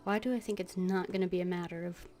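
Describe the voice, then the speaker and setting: A woman's voice: on the low side, fairly even intonation, quick pace, a little mellow, soft background music. A woman playing a game on a livestream, casually murmuring to herself as she thinks aloud and reads. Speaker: game streamer. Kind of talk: casual game livestream, voicing her character's lines.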